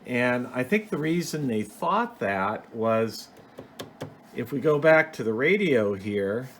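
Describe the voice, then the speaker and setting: A man's voice: medium pitch, animated, takes it slowly, a bit bright. A man giving a casual, unscripted show-and-tell as a hobbyist host, explaining things in a rambling, plain-spoken way. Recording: clear background noise.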